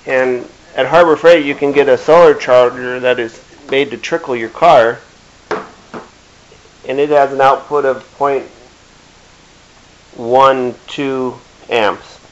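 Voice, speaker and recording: A middle-aged man giving a casual hands-on demonstration in a halting, plain-spoken way. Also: mid-range voice, fairly even intonation. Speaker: DIY video maker. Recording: dull lo-fi camera sound with hiss.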